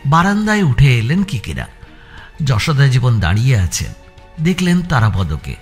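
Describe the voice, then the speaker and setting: A man's voice: mid-range voice, sing-song, moderate pace, faint music behind. A man narrating a suspense audio story in a dramatic storytelling style.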